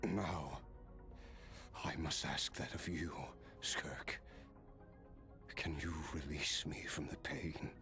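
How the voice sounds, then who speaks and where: A man voicing a tormented, solemn immortal warrior in a video game, speaking haltingly in a breathy near-whisper. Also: a bass voice, pushed and bright, soft background music, exaggerated voice. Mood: pained, weary. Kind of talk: dramatic video game acting.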